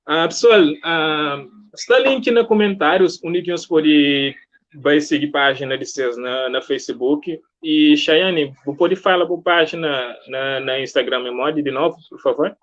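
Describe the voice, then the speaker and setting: Higher-voiced man hosting, slightly muffled. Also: measured pace. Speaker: interview host. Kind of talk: casual live-stream interview chat. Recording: muffled, lo-fi online stream audio.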